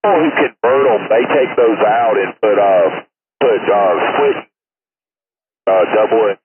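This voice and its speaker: A man's voice: fairly low pitch, expressive, measured pace, narrow, tinny audio. A man from Tennessee, a truck driver with a blunt, plainspoken way of talking, chatting on CB radio from his cab. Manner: blunt, plainspoken, swears casually. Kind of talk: casual CB radio chat. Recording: crackly CB radio, loud vehicle noise.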